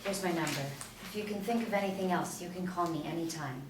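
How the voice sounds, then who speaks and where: Low-voiced woman reading a composed female agent. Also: fast, lightly acted. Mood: calm, persuasive. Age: young adult. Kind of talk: scripted table read of a TV pilot. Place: small indoor room, seated reading. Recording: echoey room, distant mic, clear noise.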